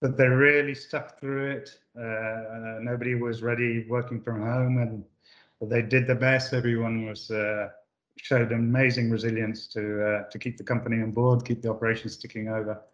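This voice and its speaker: A man's voice: mid-range voice, little pitch movement, moderate pace, echoey room, muffled recording. A man from the UK, a managing director answering interview questions with many fillers and restarts. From United Kingdom. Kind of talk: corporate podcast interview.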